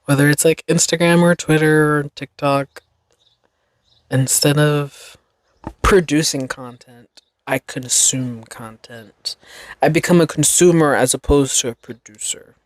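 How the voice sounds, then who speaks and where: Slow, reflective young woman. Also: low voice, steady tone, dry recording, clean studio sound. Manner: slow, reflective, rambling with fillers. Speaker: podcast host. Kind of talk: casual solo podcast monologue.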